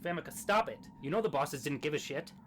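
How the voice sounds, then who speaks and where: Man acting high-voiced, offhand grim reaper. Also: lively intonation, fast, soft background music, close to an everyday voice. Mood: offhand, dismissive. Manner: offhand, blunt. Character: casual, blunt male grim reaper colleague.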